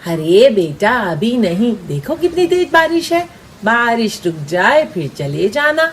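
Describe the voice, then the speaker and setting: A woman's voice: a little high, very animated, unhurried, slight background noise. A woman voicing a concerned parent crow, coaxing and cautioning in a children's cartoon.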